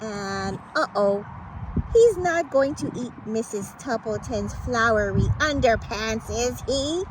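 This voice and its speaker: A woman reading a picture book aloud to children in a dramatic, playful storytelling manner with exclamations and suspenseful pauses. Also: a little high, lively intonation, noisy background.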